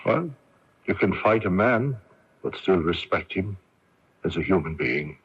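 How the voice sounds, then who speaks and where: An actor playing a weary, idealistic imprisoned male patriot in a radio mystery drama, earnest and halting. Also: low voice, expressive, slightly rough, heavily acted. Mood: resigned, gentle. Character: weary, idealistic imprisoned Irish patriot, resigned yet defiant. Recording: dull lo-fi radio recording.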